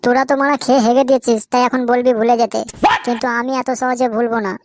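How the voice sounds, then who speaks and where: A woman's voice: fairly high pitch, little pitch movement, fast, slight background noise, close to an everyday voice. A woman voicing a foul-mouthed, hot-tempered young village lad who swears and quarrels. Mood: angry. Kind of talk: comic cartoon voice acting.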